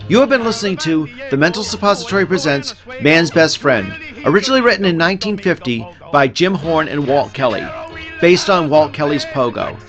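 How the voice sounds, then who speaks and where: A man's voice: on the high side, lively intonation, brisk, music in the background. A man reading scripted podcast credits as an announcer.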